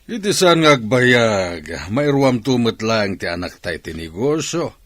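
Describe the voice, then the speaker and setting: A man's voice: mid-range voice, very animated, slow, a bit bright, dry recording. A man playing a proud older father of the bride in a radio drama, with declamatory emphasis. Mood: proud, pleased. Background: music.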